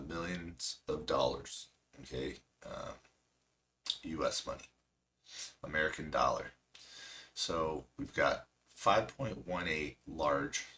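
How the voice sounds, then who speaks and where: A man hosting a stock trading stream, hoarse and losing his voice, chatty and self-mocking with a cracking voice. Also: deep voice, sharp tone. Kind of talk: casual market commentary.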